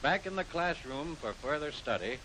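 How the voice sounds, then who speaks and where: A man's voice: lively intonation, normal speed, bright, forceful tone, poor audio. An American man reading scripted narration for a 1940s military training film, instructing the trainee directly. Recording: hissy 1940s film soundtrack, rumbling noise.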